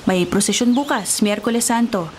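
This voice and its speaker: A woman playing a kindly, welcoming small-town nun in a Filipino radio drama, with a gentle, counseling manner. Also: mid-range voice, normal speed, slight background noise, natural voice.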